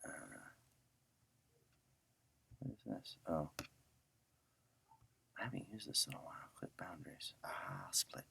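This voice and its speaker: Very deep-voiced man teaching casually. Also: very animated, takes it slowly, gravelly, long pauses, sharp tone, husky, whispered. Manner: informal, thinking aloud. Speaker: audio software instructor. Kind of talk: casual screen-share tutorial.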